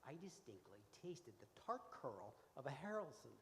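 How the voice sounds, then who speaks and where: A man's voice: medium pitch, sing-song, moderate pace. A man playing a fussy pie judge in a live radio comedy, theatrical and declamatory. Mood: accusing. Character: fussy male pie judge making a grave accusation. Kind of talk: live comic radio-show acting. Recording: echoey hall, loud piano behind.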